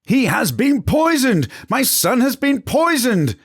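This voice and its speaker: A man's voice: very high voice, big pitch swings, slow, breathy edge, no room sound, clean studio sound, voice pushed up, heavily acted. A man doing a screeching grief-mad queen. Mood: hysterical. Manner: screeching, frantic commands. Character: shrieking grief-mad queen, middle-aged.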